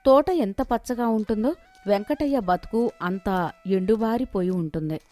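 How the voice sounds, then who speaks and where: A woman's voice: mid-range voice, moderate pace, warm tone. Woman narrating a moral story over music.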